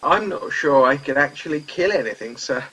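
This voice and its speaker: A man playing a gentle, doddery elderly crewman in a comedy radio play, mild and apologetic. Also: a little high, expressive, normal speed, a little hiss or hum, low-quality recording. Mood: meek.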